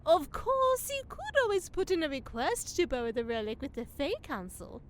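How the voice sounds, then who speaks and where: Casting: knowing forest fairy, extremely high and animated, prim, precise, theatrical. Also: female voice, normal speed. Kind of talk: fantasy comedy audio drama acting.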